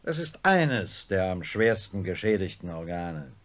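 An actor playing a sober, skeptical professor of neurosurgery in a radio play, with a dry, measured, blunt manner. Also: male voice, sits low, big pitch swings. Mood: blunt, exasperated. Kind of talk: German radio play acting.

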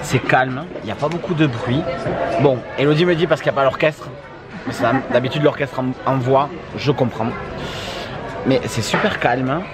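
A man's voice: mid-range voice, moderate pace. A man in his thirties chatting casually as a vlogger, repeating himself and tagging his phrases. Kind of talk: casual vlog chat. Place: large wooden restaurant hall, indoors. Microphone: handheld camera at arm's length. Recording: busy restaurant crowd noise.

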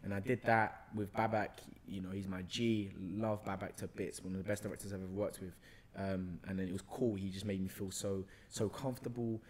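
A young man with a London accent, talking casually as a podcast guest and retelling his experiences in a slangy, expressive way. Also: low-pitched, brisk, slight background noise. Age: young adult. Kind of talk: casual conversational storytelling. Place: bright indoor room, seated on a sofa. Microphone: podcast microphone on a boom arm, close.

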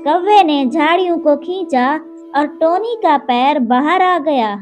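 A woman narrating a children's moral story in a slow storytelling manner, with music behind. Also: high-pitched, animated, a little mellow. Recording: narration over cartoon music.

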